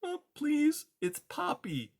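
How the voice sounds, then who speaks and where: A man's voice: very high-pitched, huge pitch swings, takes it slowly, gravelly, voice pushed up, exaggerated voice. A man voicing a timid, frightened young female mouse who stammers and pleads faintly, in a storybook reading. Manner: stammering, faint, breathless with fear.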